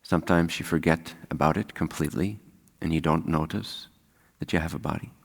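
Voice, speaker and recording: A man leading a guided meditation as a spiritual teacher, speaking calmly and unhurriedly with long pauses. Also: a bass voice, creaky. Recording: long hall-like reverb.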